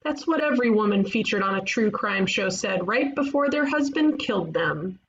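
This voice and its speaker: A woman playing a blunt, impulsive young detective in an animated comedy table read. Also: medium pitch, moderate pace, vocal fry. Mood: probing. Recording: dull, noisy home microphone.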